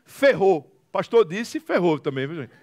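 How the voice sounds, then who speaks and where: A man's voice: high voice, very animated, unhurried, a little mellow. A man with a Brazilian accent giving a motivational testimony to an audience, telling stories dramatically with emphatic repetition and humor. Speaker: guest speaker, social movement founder.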